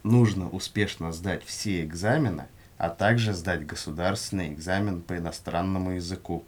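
A man reading scripted language-course answers in a measured, carefully enunciated way. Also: low voice, a little mellow. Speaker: language lesson reader.

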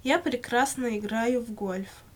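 Female, slightly high voice, reciting model answers for language learners in measured full sentences. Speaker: language-lesson model speaker answering questions. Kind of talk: scripted language-lesson dialogue, read aloud.

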